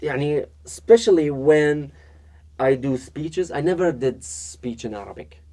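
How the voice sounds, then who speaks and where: A man from Iraq, a podcast guest chatting casually, talking with many fillers and restarts and growing animated as he tells stories. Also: a little high, moderate pace, slight background noise. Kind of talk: casual interview chat.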